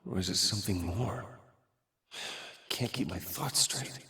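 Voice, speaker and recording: A man's voice: on the low side, measured pace, pushed and bright, husky, full character voice. An actor voicing a conflicted young man who thinks aloud about his feelings in a game cutscene. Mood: pensive. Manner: earnest, searching, sometimes exasperated. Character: conflicted young man torn over his love life. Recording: with background music.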